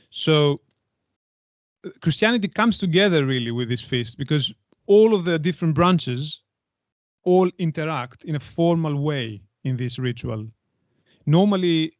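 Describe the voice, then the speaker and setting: Man explaining haltingly, dull dry recording. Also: medium pitch, no echo. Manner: hesitant, stop-start phrasing with repeated words. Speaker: podcast guest, anthropologist. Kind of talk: conversational explanation in an interview.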